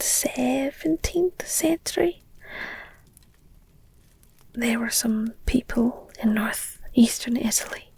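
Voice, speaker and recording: Whispering, breathy werewolf girlfriend, acted by a woman. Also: on the high side, heavily acted. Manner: soft, hesitant, gently teasing, wry asides. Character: affectionate, candid werewolf girlfriend soothing her lover. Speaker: audio roleplay voice performer. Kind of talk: intimate whispered ASMR roleplay storytelling.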